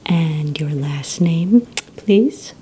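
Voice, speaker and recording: A woman whispering softly and breathily in an ASMR roleplay, playing a polite receptionist who asks questions in a gentle, hesitant manner. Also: low voice, lively intonation, extremely slow, background noise. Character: courteous female villain recruitment receptionist.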